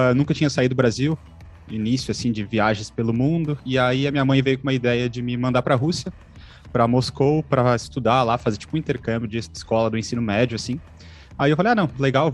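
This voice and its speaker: Fast-talking man. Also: medium pitch. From Santa Catarina, Brazil. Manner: loose, uses fillers like "pô" and "né". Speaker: interview guest, traveler. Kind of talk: casual storytelling.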